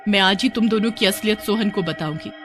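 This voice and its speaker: A woman voicing a charming, confident young woman in a story, in a light, expressive manner. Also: mid-range voice, fairly quick, faint music behind, close to an everyday voice. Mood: indignant. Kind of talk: dramatised Hindi storytelling.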